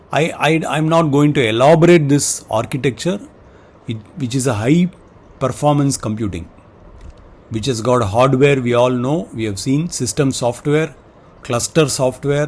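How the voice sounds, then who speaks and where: A man with a mid-pitched voice is presenting an explanatory lesson. He speaks semi-improvised, in short halting phrases. He tends to list points item after item. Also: darkish tone, slightly rough.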